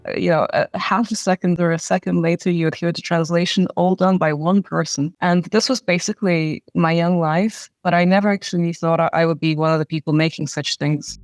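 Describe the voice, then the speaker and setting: A woman's voice: lowish voice, fairly even intonation, brisk, few pauses, dry recording. A woman from Eastern Europe who works as a filmmaker, talking reflectively in a film discussion. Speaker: filmmaker, commentator. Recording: music playing behind the voice. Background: background music.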